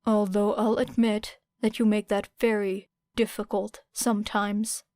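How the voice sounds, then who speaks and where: A woman voicing a composed, stubborn teenage boy in an audiobook, measured and blunt. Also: medium pitch, flat delivery, darkish tone, no room sound, close to an everyday voice. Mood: weary. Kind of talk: audiobook character voice.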